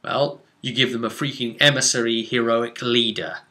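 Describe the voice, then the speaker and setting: Man, crisp bright tone, average pitch, game master, casual tabletop recap, explanatory and chatty, dramatic asides. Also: steady tone, moderate pace. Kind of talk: casual recap of a tabletop role-playing session.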